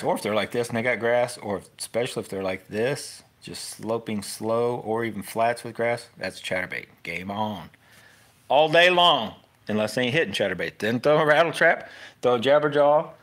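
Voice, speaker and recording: A man's voice: mid-range voice, a bit bright. Rambling middle-aged American man, casual vlogger. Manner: rambling, informal, self-interrupting. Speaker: fishing video host. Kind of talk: casual unscripted fishing tutorial.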